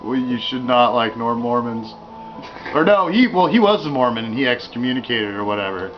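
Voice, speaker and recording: A man's voice: medium pitch, very animated, brisk. A man co-hosting a gaming podcast, talking in a crass, joking way and laughing often. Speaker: podcast co-host. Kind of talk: crude casual chat while gaming. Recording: dull lo-fi audio with game music.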